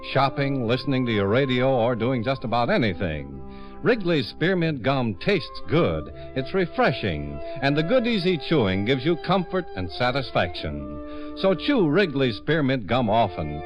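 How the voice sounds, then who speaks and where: A man reading scripted 1950s radio sponsor announcements in a polished, measured sales manner. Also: medium pitch, dull audio. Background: music.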